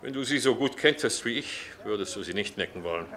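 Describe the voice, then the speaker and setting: A man's voice: fairly low pitch, lively intonation, fast talker, a bit bright. A man playing a disdainful, wary Roman officer in a German radio drama, curt and scornful. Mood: scornful warning. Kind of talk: German radio play acting.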